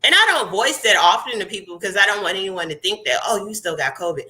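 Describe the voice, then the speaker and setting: A young adult woman, hosting a casual live chat in a chatty, animated way and sounding congested. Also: mid-range voice, quick pace, poor audio. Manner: chatty, animated, laughs often. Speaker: host.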